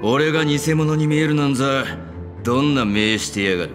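A man voicing a cynical, rough-edged middle-aged security officer in a video game, talking coarsely with a sardonic drawl. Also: mid-range voice, normal speed, over music, lightly acted. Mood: scornful. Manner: coarse casual speech, lazy sardonic drawl. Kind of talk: dramatic video game voice acting.

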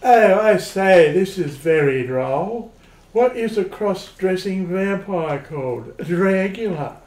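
A man's voice: high voice, expressive, slow pace, a little mellow. A man playing a formal, droll servant of a vampire household in an amateur radio play. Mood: courteous. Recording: echoey room, faint noise.

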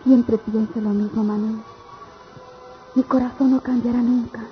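An actress playing a dutiful, sorrowful young country sweetheart in a radionovela, speaking solemnly and earnestly. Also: female voice, on the high side, level tone, a little slow, soft background music, lightly acted. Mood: tender sorrow. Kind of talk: radionovela acting. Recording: dull, noisy old radio drama recording.

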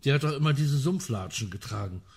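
A man acting in a German radio crime drama as a gruff, sardonic colonel who muses aloud emphatically. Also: mid-range voice, animated, a little fast, darkish tone. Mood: puzzled, irritated. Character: gruff, sardonic male colonel in an amateur sleuthing trio.

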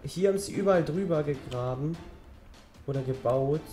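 Teen boy gamer, a bit hoarse. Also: a little high, normal speed, mellow. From German-speaking Europe. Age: teens. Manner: rambling, chatty, talks while gaming. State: slightly hoarse from a cold. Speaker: gaming channel host. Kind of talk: casual let's-play commentary.

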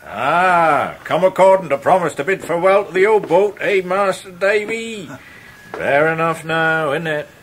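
An actor playing a kindly, hearty older fisherman with a husky voice in a radio drama. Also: fairly high pitch, animated, a little slow, a bit bright. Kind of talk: 1990s radio drama acting.

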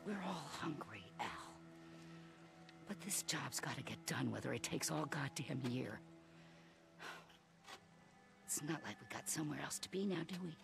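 A heavily gravelly woman's voice as a harsh camp boss in a game drama. She is curt and commanding with animated pitch, music clearly behind. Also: moderate pace, long pauses, heavily acted. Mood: irritated, domineering. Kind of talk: naturalistic game cutscene acting.

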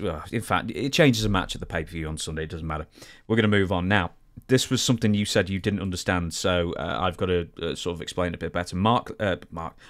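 A man co-hosting a podcast, talking casually through sports news and explaining it. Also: sits low. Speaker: podcast co-host.

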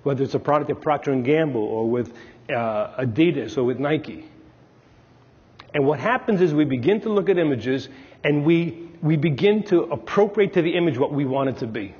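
A man's voice: on the high side, animated, moderate pace, darkish tone, husky. New man lecturing in a hall. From Brooklyn, New York. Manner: storytelling, emphatic, gestures with his hands. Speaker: Jungian analyst giving a talk. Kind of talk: conference talk at a podium. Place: large dark stage, lecture hall. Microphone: clip-on lapel mic. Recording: lo-fi recording with long hall reverb.

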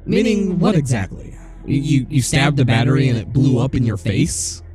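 Casting: male actor as swaggering, taunting street thug for horror audio drama, very lively pitch, faint music underneath. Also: normal speed, natural voice. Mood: cocky. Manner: swaggering, sweary. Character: cocky, foul-mouthed male thug, hot-tempered and taunting.

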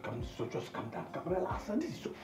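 An actor with a Nigerian accent playing an excitable, placating friend in a film drama, stammering and repeating himself as he pleads. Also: on the high side, brisk, recorded from a distance. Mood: frantic placating. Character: excitable male friend and fixer, frantic, placating. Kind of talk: Nollywood-style film drama acting. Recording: echoey room, music bed.

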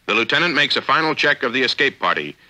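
An American man reading formal, measured, authoritative narration for a 1950s instructional film, on an old film soundtrack. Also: mid-range voice, big pitch swings, bright, forceful tone, rough recording. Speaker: narrator of a military training film.

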